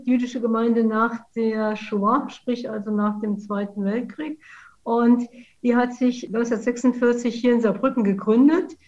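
Woman, mellow voice. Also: on the high side, dull audio. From Saarland, Germany. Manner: measured, explanatory. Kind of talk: interview answers.

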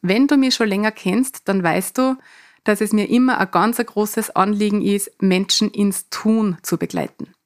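A woman's voice: mid-range voice, measured pace. Austrian dialect-speaking woman podcaster. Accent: Mostviertel Austrian dialect with a touch of Salzburg. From Mostviertel, Lower Austria. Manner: earnest, speaks in dialect rather than standard German. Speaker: podcast host and coach. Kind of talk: solo podcast monologue.

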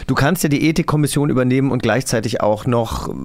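A man's voice: medium pitch, quick pace. A man co-hosting a podcast in a casual, chatty style. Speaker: podcast co-host.

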